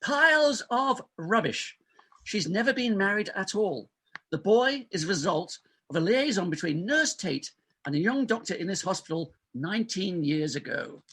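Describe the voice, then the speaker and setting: A man's voice: sits high, animated, moderate pace, pushed and bright, breathy edge, heavily acted. A man playing an exasperated, self-important hospital doctor, indignant and emphatic, in a comic stage farce reading. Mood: exasperated, scheming. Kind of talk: comic farce readers theatre.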